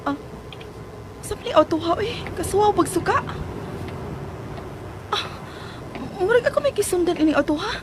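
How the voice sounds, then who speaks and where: A woman playing a timid, anxious young woman in a radio drama, with halting, stammering, sighing speech. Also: very high-pitched, expressive, background noise. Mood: wary, musing, then alarmed.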